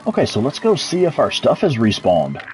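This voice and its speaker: A man's voice: medium pitch, wildly swooping pitch, normal speed, darkish tone, slight background noise. A man with a Texas accent giving laid-back, chatty live commentary over gameplay as a streamer, answering chat and swearing casually. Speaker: gaming streamer.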